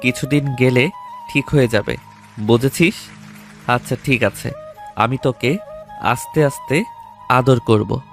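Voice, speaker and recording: A man's voice: medium pitch, a little slow, pauses often, soft background music, close to an everyday voice. A man playing a coaxing young lover in an audio love story. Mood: reassuring.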